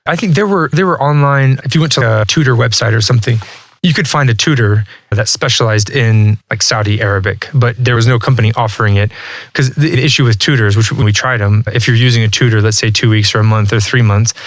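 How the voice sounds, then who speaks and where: A man's voice: medium pitch, brisk, no echo. A man in his thirties from Texas, a podcast guest talking casually and thoughtfully, often restarting his sentences. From Texas, USA. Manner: relaxed, reflective, many restarts and "like". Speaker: guest, language learning entrepreneur. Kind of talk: casual interview talk.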